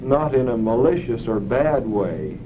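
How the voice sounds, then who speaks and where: A man's voice: mid-range voice, animated, unhurried. A man talks hesitantly, feeling his way through his answers and often restarting his sentences. His voice sounds dull and muffled, with heavy hiss from an old film soundtrack. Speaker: test participant. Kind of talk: unscripted answers in an experiment.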